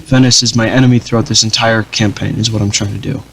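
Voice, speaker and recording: A young man casually commenting over his strategy game, rambling with many fillers and restarts in a flat, dull-sounding voice. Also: fairly low pitch, fast talker, vocal fry. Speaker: gaming channel host.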